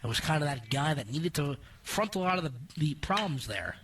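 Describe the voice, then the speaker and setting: A man's voice: a little high, animated, brisk, fairly bright tone, far from the mic, slight background noise. A man giving conversational, explanatory color commentary on a radio baseball broadcast. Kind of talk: analytical radio sports commentary.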